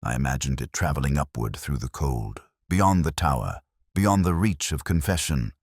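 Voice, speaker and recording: A man reading a scripted first-person mystery story in a reflective, solemn, deliberate, melancholy manner. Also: extremely low pitch, animated, breathy edge, dry recording, clean studio sound. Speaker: narrator of a detective mystery story.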